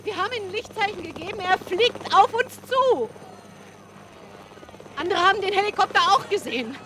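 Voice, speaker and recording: A woman's voice: very high-pitched, lively intonation, normal speed, heavily acted. A woman playing a breathless, hopeful field reporter in a radio drama. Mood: urgent, hopeful. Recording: loud outdoor helicopter noise.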